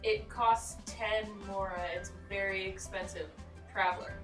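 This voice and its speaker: A woman's voice: mid-range voice, steady tone, unhurried, a bit bright, far from the mic, faint music behind. A woman giving casual, goofy commentary alongside a video game. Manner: goofy, joking. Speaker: gaming co-commentator. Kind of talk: casual playful gameplay banter. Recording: roomy, away from the mic.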